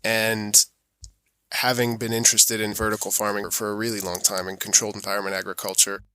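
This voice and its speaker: A man's voice: lowish voice, level tone, brisk, pushed and bright, smooth, dry recording. A man with an American accent giving informal, self-effacing answers as an interview guest. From born in New York, raised mostly in Europe. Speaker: podcast guest, sustainability auditor.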